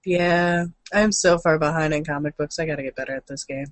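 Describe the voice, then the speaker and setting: A woman's voice: deep voice, steady tone, fairly quick, no echo. A woman's voice in a very dry, close recording with little room sound. She chats casually as a co-host, playful and irreverent, happy to swear. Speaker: co-host of a gaming and comics news show. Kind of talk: casual unscripted chat.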